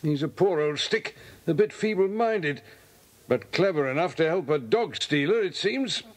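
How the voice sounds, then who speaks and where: Genial police inspector, British, high-pitched for a man, hearty, polite, children's audio drama. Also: expressive, moderate pace.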